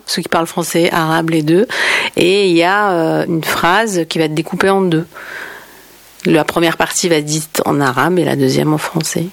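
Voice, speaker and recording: A woman's voice: fairly low pitch, gravelly, dry recording. Woman from Algeria, interview guest, unaccented French, reflective and hesitant, dry and close. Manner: explanatory, thoughtful, frequent hesitations. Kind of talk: conversational interview answers.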